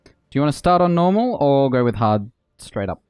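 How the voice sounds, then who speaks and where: A man's voice: mid-range voice, big pitch swings, a little fast, darkish tone, smooth, no room sound, studio quality. A man who streams games, chatting casually and greeting viewers and friends over background music. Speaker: game streamer hosting a multiplayer session. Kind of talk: casual livestream chat.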